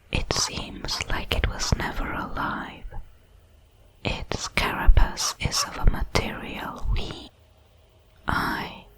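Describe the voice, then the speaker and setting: A woman voicing a curious, solemn alien creature, a shard of a hive mind, in a whispered, halting ASMR sci-fi roleplay. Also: a bit bright, poor audio. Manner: slow, deliberate, halting over its words.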